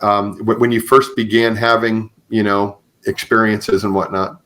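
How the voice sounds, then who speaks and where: A man's voice: on the low side, flat delivery, moderate pace, a bit bright. A man hosting a conversational podcast interview, speaking in hesitant phrases with frequent acknowledgements. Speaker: podcast host and author.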